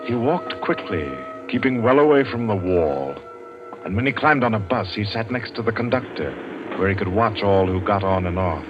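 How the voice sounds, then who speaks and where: A man with a very low voice narrates a suspense tale in old-time radio fashion. His delivery is measured and deliberate. The dull, band-limited recording carries clear music behind him. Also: lively intonation.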